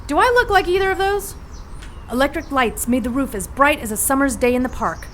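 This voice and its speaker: A woman's voice: high voice, lively intonation, fairly quick, slight background noise. A woman playing a plucky, sassy 1940s heroine who narrates her adventure in an audio drama with brash, wry slang. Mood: sassy, wary.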